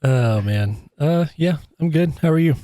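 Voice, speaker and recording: A man's voice: on the high side, animated, unhurried, warm tone, no room sound, studio quality. A man co-hosting a podcast in casual chat, opinionated and arguing his point with hesitant restarts. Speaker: podcast co-host.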